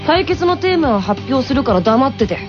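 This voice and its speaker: A woman voicing a cool, cocky teenage tennis boy in an anime radio show, announcing with excitable energy. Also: sits high, a little fast. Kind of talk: Japanese anime radio show hosting and mock match commentary. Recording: dull lo-fi radio audio, music behind.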